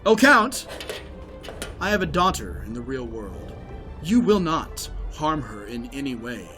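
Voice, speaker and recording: A man playing a shrewd, coolly ruthless schemer in an audio drama, with a polite, measured and sardonic manner. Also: medium pitch, big pitch swings, bright, forceful tone, soft background music. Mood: coolly warning.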